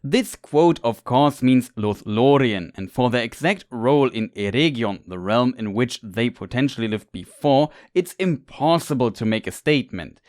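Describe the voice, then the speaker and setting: A man talks through fantasy book lore at a steady, mid-range pitch. His delivery is measured and explanatory, like a prepared narration. The sound is dry and close, with no room echo. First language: German. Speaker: lore video creator.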